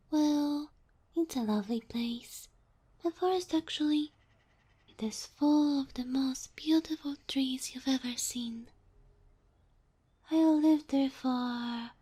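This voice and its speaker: A woman voicing a sweet, shy, submissive fox spirit familiar in an ASMR roleplay, with a soft, breathy voice that often drops to a whisper and a gentle, bashful way of talking. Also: on the high side. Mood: dreamy, content. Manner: gentle, bashful, flustered hesitations.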